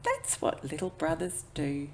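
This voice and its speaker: A woman plays a motherly raccoon from a storybook, unhurried and expressive. She is tender and patient, soothing her young with gentle reassurance in a lowish, creaky voice. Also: a little hiss or hum, heavily acted.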